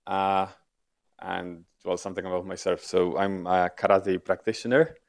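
Deep voiced guy, calm intro. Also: moderate pace, vocal fry. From Poland. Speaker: participant introducing himself. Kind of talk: group self-introduction.

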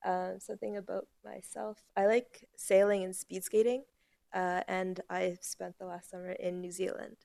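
A woman's voice: mid-range voice, level tone, moderate pace, vocal fry, background noise. A woman introducing herself to a group in a friendly, lightly joking way. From New Brunswick, Canada.

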